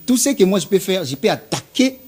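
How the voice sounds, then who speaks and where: Congolese man, Congolese French accent, mellow darker tone, earnest interview guest. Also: sits high, very animated, fairly quick, slightly rough. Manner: earnest, repeats words while searching. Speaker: guest musician. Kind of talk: casual interview talk mixing French and Lingala.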